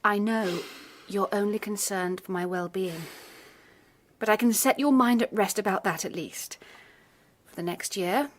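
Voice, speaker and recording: Medium-pitched actress as young gentlewoman, genteel, measured, gentle yet firm, Victorian radio drama. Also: close to an everyday voice. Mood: gentle, reluctant.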